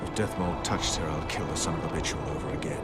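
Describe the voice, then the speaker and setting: An actor playing a hard, stubborn military commander in a video game, speaking in a blunt, terse way. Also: male voice, very deep voice, quick pace, loud music behind the voice. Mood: resolute, grim.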